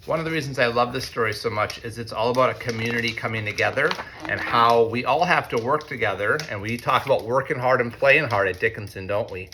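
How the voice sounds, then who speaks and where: An American man, a teacher, reads a picture book aloud to children in an expressive way, with conversational asides. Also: mid-range voice, a little hiss or hum. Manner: expressive, deliberate when reading, conversational in asides. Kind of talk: read-aloud storytelling with chatty asides to children.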